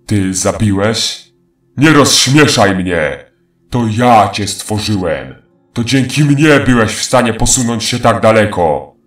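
An ancient faceless demon speaks in a lowish voice with heavy echo. He is mocking, menacing and theatrical, as in a horror audio drama, drawing out his words over faint music. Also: animated, slow, a bit bright. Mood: taunting.

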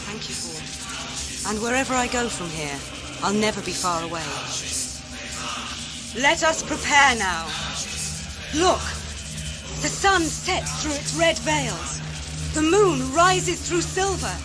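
An actress playing a serene mystical prophet in an audio drama, solemn and incantatory. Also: female voice, high-pitched, sing-song, slow pace, pauses often, over music, exaggerated voice. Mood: serene, exalted.

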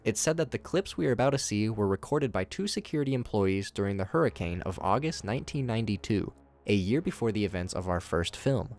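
A man's voice: lowish voice, lively intonation, fairly quick, darkish tone. A man giving a scripted narration that recaps horror footage in a casual, wry way. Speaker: narrator of a video commentary.

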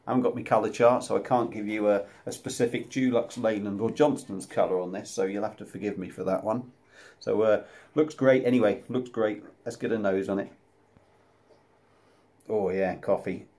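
A man's voice: on the low side, fairly even intonation, moderate pace, dark tone. A man, casually reviewing a beer to camera at home, chatty and self-deprecating. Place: small room at home, indoors. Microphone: camera close up at desk level.

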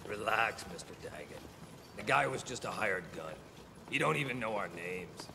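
An actor playing a laid-back, dismissive criminal underling in an animated series. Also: male voice, on the low side, normal speed. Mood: casual, unbothered. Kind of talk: dramatic animated series acting. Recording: long reverb, clear background noise.

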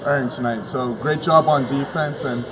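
A man's voice: mid-range voice, steady tone, moderate pace. A young man giving postgame basketball commentary as a panelist on a sports show. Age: young adult. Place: large indoor basketball gym. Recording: noisy gym ambience behind voice.

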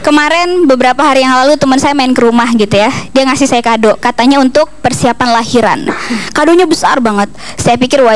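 A young woman from East Kalimantan, Indonesia, performing a stand-up comedy routine into a handheld microphone on a stage. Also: high-pitched. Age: young adult. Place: TV comedy studio stage with audience. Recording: audience ambience noise behind stage mic.

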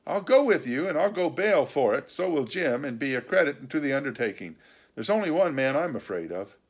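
Man reading as a stern country doctor, raised higher voice, loud and forceful, firm and warning, storybook reading. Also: sing-song, brisk, breathy edge, exaggerated voice. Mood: resolute. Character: stern, cautious gentleman doctor, middle-aged man. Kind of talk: audiobook character reading.

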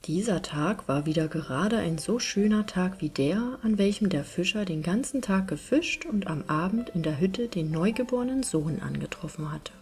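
A woman's voice: fairly low pitch, animated, moderate pace, hardly any pauses. A woman reading a fairy tale aloud as scripted audiobook narration. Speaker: storyteller, audiobook reader. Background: soft music.

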